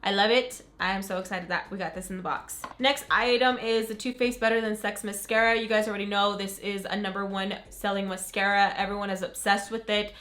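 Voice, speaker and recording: Young woman kinda sick, raspy but bright voice, super hyped about makeup. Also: mid-range voice, fairly even intonation, a little fast, few pauses. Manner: gushing, upbeat, says "freaking" a lot. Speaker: beauty vlogger. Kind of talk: casual unboxing chat to camera.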